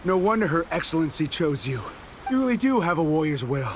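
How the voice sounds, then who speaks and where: A man's voice: on the high side, sing-song, normal speed, slight background noise. A man voicing a stubborn, proud soldier in a video game, defiant and scornful. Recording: game audio with music.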